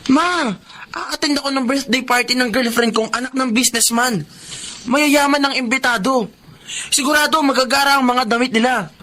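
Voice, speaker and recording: A man's voice: extremely high pitch, normal speed, pushed and bright. An actor with a Filipino accent playing an insecure, status-conscious young man in a radio drama. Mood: whining, indignant. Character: young son and boyfriend, status-conscious, insecure about money.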